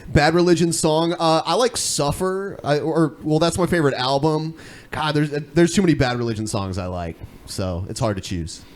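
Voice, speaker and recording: A man's voice: fairly high pitch, moderate pace. A man hosting a podcast in casual, conversational talk. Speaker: podcast host. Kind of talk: casual chat. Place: indoor room, podcast stream.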